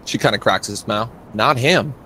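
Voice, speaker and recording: A man working as game master, narrating a tabletop roleplay casually with halting, improvised description. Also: mid-range voice, pushed and bright, a little hiss or hum, low-quality recording.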